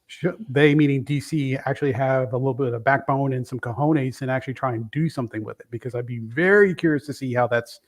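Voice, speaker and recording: A man's voice: medium pitch, quick pace, hardly any pauses. A man in his fifties from Brooklyn, a guest reviewer chatting casually, rambling and correcting himself as he goes. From Brooklyn, New York. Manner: rambling, self-correcting, enthusiastic. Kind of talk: casual chat.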